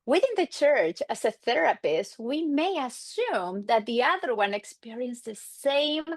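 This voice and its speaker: Animated middle-aged woman with Argentine accent. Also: on the high side, measured pace, no echo. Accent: Argentine Spanish. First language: Spanish. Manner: animated, acts out quoted exchanges. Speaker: guest, marriage and family therapist. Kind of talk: casual interview storytelling.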